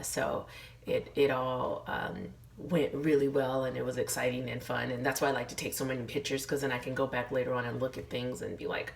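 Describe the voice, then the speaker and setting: A middle-aged woman vlogging in a casual, encouraging way while losing her voice, hoarse and full of fillers. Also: a bass voice, distant mic, slight background noise. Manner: chatty, many fillers, earnest encouragement. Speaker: lifestyle vlogger. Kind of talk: casual motivational talk to camera.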